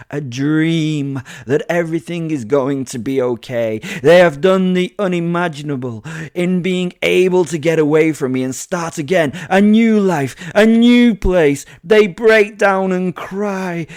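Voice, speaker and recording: A man's voice: fairly high pitch, slow pace, no echo, studio quality, voice pushed up. A man voicing a cruel, centuries-old werewolf confessing in a melodramatic monologue for a horror-comedy audio drama. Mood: mock-hopeful, rising. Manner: melodramatic monologue, from weak whispers to loud crescendos.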